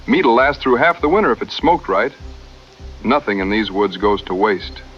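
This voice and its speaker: An actor playing an easygoing, modest frontier hunter in a radio drama, with folksy drawling talk. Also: male voice, on the low side, animated, normal speed, muffled recording, soft background music, natural voice. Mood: friendly.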